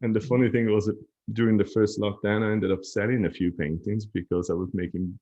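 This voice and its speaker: Deep-ish guy on a podcast, laid back and thoughtful, bit muffled lo-fi sound. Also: steady tone, a little fast, mellow. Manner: reflective, easygoing storytelling. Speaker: podcast guest. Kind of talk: casual chat.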